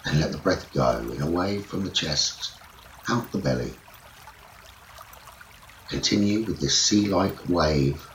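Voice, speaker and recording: A man leading a guided sleep meditation as a teacher, speaking in soothing, measured short phrases. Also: low-pitched. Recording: roomy echo, running water noise behind. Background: trickling stream water nature sounds.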